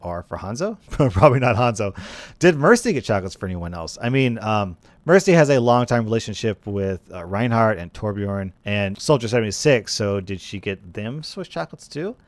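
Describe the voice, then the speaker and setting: A man's voice: on the low side, sing-song, moderate pace, no room sound. A man hosting a gaming video with casual, conversational commentary, often repeating phrases for emphasis.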